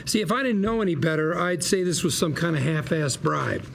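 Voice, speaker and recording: A man's voice: a little high, expressive, fairly quick, gravelly, fairly bright tone, a little hiss or hum. A man playing a scrappy, indignant lawyer at a script read, sarcastic, combative and theatrical. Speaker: actor at a script table read. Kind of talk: acted script read at a table.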